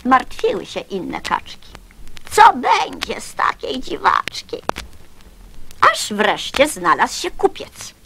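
A woman recites children's verse in a high, bright voice. She tells it theatrically and unhurriedly, on an old recording with slight hiss. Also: huge pitch swings, slow, creaky. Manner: theatrical, storytelling. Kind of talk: expressive children's poem recital.

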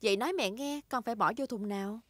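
A woman voicing a kind mother pig in a cartoon dub, gentle, instructive and encouraging. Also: on the high side, quick pace, very noisy, close to an everyday voice. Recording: cartoon music behind the voice.

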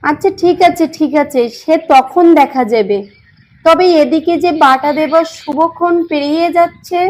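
Young daughter-in-law acted by a woman: high voice, dutiful, chatty, courteous, doll-tale cartoon. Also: normal speed, darkish tone, slight background noise, lightly acted, poor audio. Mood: polite, mildly chiding. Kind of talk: children's doll-story voice acting.

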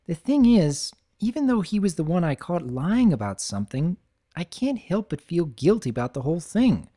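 A man's voice: fairly high pitch, big pitch swings, moderate pace, warm tone, pitched above the performer's own voice. A man voicing a nervous, bookish young pony mare who talks hesitantly. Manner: hesitant, fretful.

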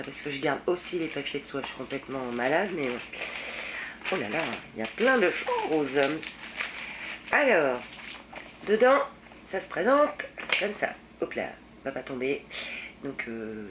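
A woman in her thirties with a head cold, sounding slightly husky and nasal, chatting casually as she unboxes beauty products at home, rambling with lots of fillers. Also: low voice, sing-song, moderate pace, pauses often, noisy background. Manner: chatty and rambling, many fillers, self-mocking. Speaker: beauty vlogger. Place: home room with shelves of nail polish. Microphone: fixed camera at desk distance.

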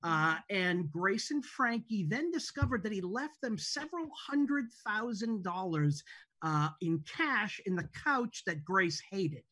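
A woman giving an informal spoken introduction on a video call, speaking in a hesitant, halting way. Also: mid-range voice, animated, fairly bright tone. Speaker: TV show creator introducing a table read. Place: indoors at home on a video call. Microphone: video call microphone.

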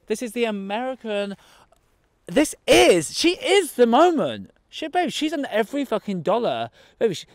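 A man's voice: high-pitched, no room sound. A man, sassy and dramatic, vlogging at an outdoor zoo into a phone held close. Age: 20s. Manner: sassy, dramatic, talks to animals and camera. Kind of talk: camp comedic vlog monologue. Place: outdoor zoo, among animal enclosures. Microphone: handheld phone held close.

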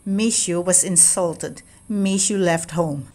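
A woman narrating a children's storybook as an expressive read-aloud, stressing key words with deliberate drama. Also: on the low side.

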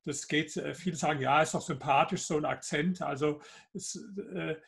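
Older hessian guy on a webcam call, gravelly and a bit husky, lots of ums while he argues his point. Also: a little high, steady tone, moderate pace, a bit bright. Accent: Hessian German. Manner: hesitant, many fillers, argumentative. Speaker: guest author. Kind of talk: video call interview. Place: home office with bookshelves, indoors.

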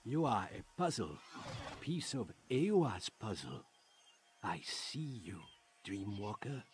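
A man's voice: fairly low pitch, sing-song, slight background noise. A cryptic old Na'vi shaman. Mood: mystical. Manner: riddling, halting broken speech.

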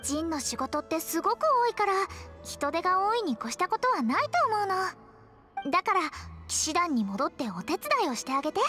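A caring young woman in a video game, earnest and gently insistent. Her voice is very high and expressive, with music playing underneath. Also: normal speed, full character voice.

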